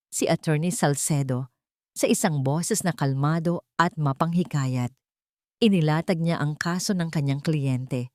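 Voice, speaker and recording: Low-voiced Filipino woman narrating a story. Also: a little mellow, no echo, studio quality. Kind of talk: scripted dramatic story narration.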